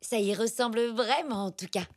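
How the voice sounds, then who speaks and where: A woman playing a kind, attentive grown-up zebra in a French cartoon dub, with a gentle manner. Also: a little high, normal speed.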